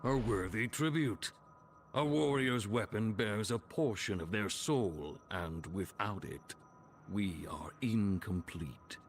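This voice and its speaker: A man's voice: on the low side, takes it slowly, a little hiss or hum. A man voicing a stern, proud ancient chieftain's spirit in a fantasy game, speaking in grave, formal oratory. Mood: solemn, approving. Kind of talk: scripted fantasy game voice acting.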